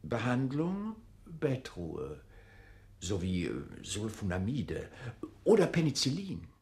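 A man playing a halting lecturer who recites dry medical facts with many ums in a radio play. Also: medium pitch, huge pitch swings, darkish tone, full character voice. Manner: hesitant, with many ums between clinical phrases.

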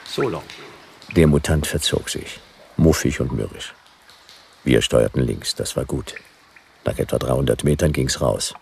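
A man's voice: rumbling low, unhurried, gravelly, pauses often, slight background noise, heavily acted. A man playing a world-weary private detective in a radio drama, speaking in dry, laconic, clipped phrases. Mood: wry. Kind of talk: noir radio drama narration and dialogue.

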